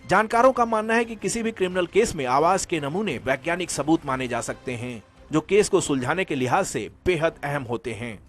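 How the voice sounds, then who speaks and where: A man's voice: on the high side, lively intonation, fairly quick, hardly any pauses. A man narrating a scripted news report as a reporter. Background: background music.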